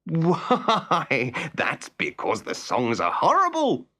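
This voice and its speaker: A man voicing a suave, kindly, self-admiring male snail in a storybook, with smooth, flattering talk. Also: a little high, slow pace, heavily acted. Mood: kindly reassuring.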